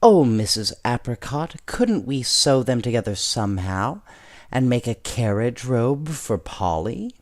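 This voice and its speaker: A man's voice: medium pitch, big pitch swings, unhurried. A man voicing eager, kind-hearted girls from a knitting class in a bedtime story, full of wonder. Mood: eager, hopeful.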